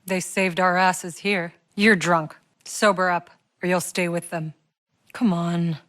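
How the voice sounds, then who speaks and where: Tough female sci-fi game soldier, lower-pitched, wry, blunt, naturalistic, close dry studio sound. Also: steady tone, takes it slowly, a bit bright, no room sound. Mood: matter-of-fact, frank. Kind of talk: video game voice lines, naturalistic acting.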